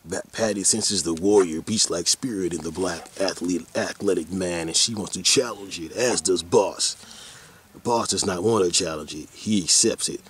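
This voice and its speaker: Deep-voiced, folksy man, rambling outdoors. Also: normal speed, a bit bright. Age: about forty. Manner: folksy, colloquial, drifting into asides to his dogs. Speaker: vlogger. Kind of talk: casual rambling monologue. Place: outdoors on a hike. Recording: noisy outdoor ambience.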